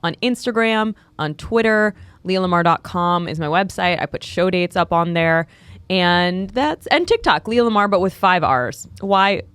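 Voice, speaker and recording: A woman's voice: lowish voice, expressive, a little slow, a bit bright, clean tone, no echo. An American woman guesting on a comedy podcast, joking playfully and mocking herself while plugging her show. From United States. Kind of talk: casual comedy podcast chat.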